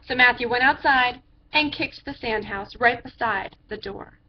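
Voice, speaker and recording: A woman reading a picture book aloud to children in a theatrical way, drawing out phrases for emphasis. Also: fairly high pitch. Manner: theatrical, drawn-out phrases with emphatic character voices. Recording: dull lo-fi sound with background noise.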